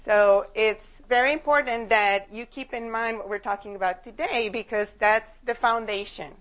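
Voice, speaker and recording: A woman's voice: medium pitch, creaky, fairly bright tone. A woman from Costa Rica giving an informal workshop talk, conversational and drawing listeners in with questions. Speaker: workshop leader, child psychotherapist and art therapist. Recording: dull lo-fi live room recording.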